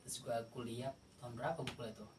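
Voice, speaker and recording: Middle-aged podcast host with a Jakarta accent, chatty, quick to laugh; recorded far off the mic in an echoey room with clear background noise. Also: male voice, medium pitch, steady tone. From Indonesia. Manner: laughs often, sums up the guest's answers. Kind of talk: casual chat.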